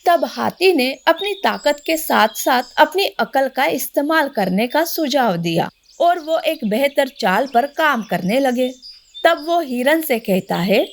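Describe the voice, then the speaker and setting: A woman's voice: high-pitched, animated, slow, a little hiss or hum. A woman narrating a scripted children's story.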